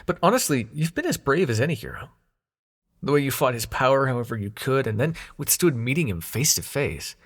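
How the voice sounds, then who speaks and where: A brash, protective hero's ally voiced by a man talks in a husky voice with lively swings of pitch. He is casual and gruffly tender, in the style of an intimate fantasy audio roleplay. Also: fairly quick. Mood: gentle, encouraging.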